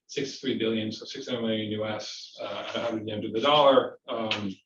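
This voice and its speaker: A man speaking as a company executive on an investor earnings call, giving a semi-scripted presentation in a halting way with repeated words. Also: on the low side, steady tone, fairly bright tone. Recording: roomy, distant, dull conference-call audio, faint noise.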